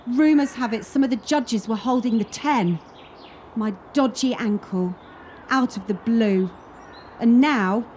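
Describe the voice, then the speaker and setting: An actress plays a wounded young female gymnast in a radio play. She speaks slowly with an expressive, higher voice, wistful and self-mocking, over faint noise.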